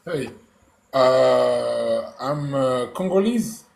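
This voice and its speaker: A man's voice: medium pitch, lively intonation, creaky, darkish tone. Man searching for words. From France. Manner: halting, many fillers and restarts, searching for words. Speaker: caller on a panel. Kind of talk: casual chat.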